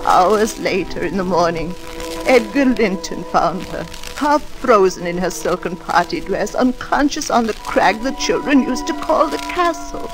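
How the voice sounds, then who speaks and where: A woman's voice: medium pitch, lively intonation, measured pace, natural voice, poor audio. A woman playing a devoted old servant, solemnly narrating a tragic tale in radio drama style. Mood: solemn, reminiscing. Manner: solemn storytelling. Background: background music.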